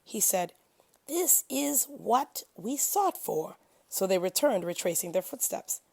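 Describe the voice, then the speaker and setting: Woman reading scripture, slightly low, gravelly voice. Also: very animated, takes it slowly, a little mellow. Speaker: scripture reader. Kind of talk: expressive scripture reading from a translated holy book.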